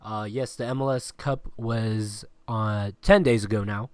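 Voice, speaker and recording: A man's voice: on the low side, steady tone, measured pace, clean tone, no echo. A man hosting a casual sports podcast, rambling and laughing often, with a stuffy nose and a lingering cough. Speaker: podcast host. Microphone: remote call recording.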